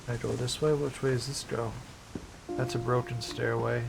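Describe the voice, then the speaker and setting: A man's voice: mid-range voice, little pitch movement, moderate pace, creaky, background noise. A man doing casual gameplay commentary as a streamer, musing aloud in short questions and repeating himself. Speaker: game streamer. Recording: game music behind the voice.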